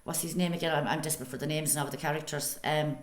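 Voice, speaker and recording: Fast-talking Irish woman, lowish voice. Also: steady tone, echoey room. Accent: Irish, County Cork. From Youghal, Ireland. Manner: emphatic, repetitive, tags phrases with "you know". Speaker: amateur radio drama cast member. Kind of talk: casual interview chat.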